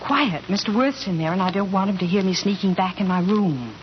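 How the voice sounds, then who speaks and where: A woman plays a sly, sweetly martyred wife in a 1940s radio comedy. Her pitch is mid-range for a woman. The vintage recording is dull with faint noise. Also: lively intonation, fairly quick, natural voice. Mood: hushed, wistful.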